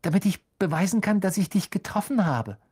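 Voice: medium pitch, lively intonation, moderate pace, a little mellow, husky, pitched above the performer's own voice. A reader voicing an eager, starstruck young schoolboy in a storybook, gushing and begging. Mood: eager, pleading.

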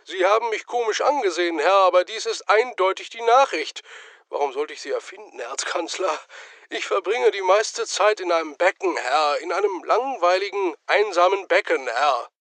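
A man's voice: high-pitched, lively intonation, normal speed, a bit bright, no echo, voice pushed up. A man voicing a nervous young male wizard who stammers and apologizes timidly. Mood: pleading.

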